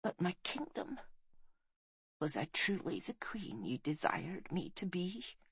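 A dying warrior queen, acted by a woman reader in a fantasy audiobook. Her voice is hushed, breathy and slow, halting as she speaks with solemn tenderness. She is weak but prophetic. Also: rumbling low, expressive, no echo, voice pushed down, heavily acted. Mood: tender, fading.

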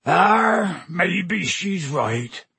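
Huge rough-spoken gamekeeper, miserable and soft-hearted man reading; husky, slurred, heavy rustic delivery for storybook. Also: high-pitched, expressive, dry recording, pitched above the performer's own voice, full character voice. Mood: tearful, self-blaming. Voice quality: thick, growling, slurred. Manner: rustic dropped-word speech, slow and heavy.

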